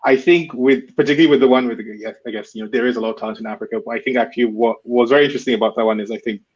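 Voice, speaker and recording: A man on a panel talking quickly, with many restarts. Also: medium pitch, steady tone, darkish tone, breathy edge. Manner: fast, halting restarts. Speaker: founder, panelist. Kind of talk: panel discussion. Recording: dull lo-fi audio.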